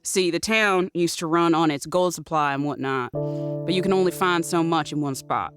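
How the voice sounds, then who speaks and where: An actress playing a wry, knowing Old West townswoman who speaks in a folksy, measured way in an audio drama. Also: low-pitched, over music, close to an everyday voice.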